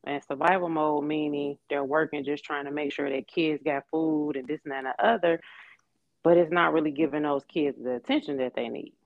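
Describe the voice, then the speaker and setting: A young woman from with a gravelly, creaky edge to her voice. She talks as a podcast guest, easygoing and reflective, and laughs often. The sound is dull and dry, with the lo-fi feel of a plain podcast recording. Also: deep voice, fairly even intonation, a little fast, no echo. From Detroit, Michigan. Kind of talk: casual interview chat.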